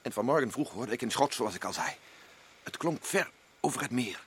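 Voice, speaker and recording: Male actor: insistent, rattled expedition man, bright tone. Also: mid-range voice, expressive, normal speed, slightly rough. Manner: urgent, rising to shouts. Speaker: expedition member Joost. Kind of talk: radio drama acting.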